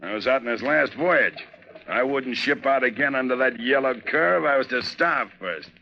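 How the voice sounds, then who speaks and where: An actor playing a gruff, cynical, hot-tempered veteran sailor, blunt and slangy, in a 1940s radio drama. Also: male voice, mid-range voice, animated, moderate pace, slight background noise. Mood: cynical, curt. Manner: blunt, slangy, tough-guy. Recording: muffled 1940s radio recording.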